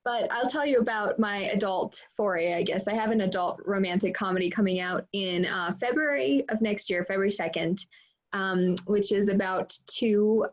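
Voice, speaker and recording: A woman's voice: mid-range voice, moderate pace. A woman speaking chattily and enthusiastically as an author on a casual online panel, over a video call. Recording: dull video-call audio.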